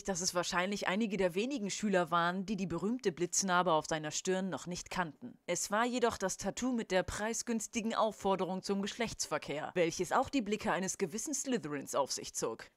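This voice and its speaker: A woman's voice: lowish voice, fairly bright tone, no room sound. A woman reading scripted narration for a comic audio play.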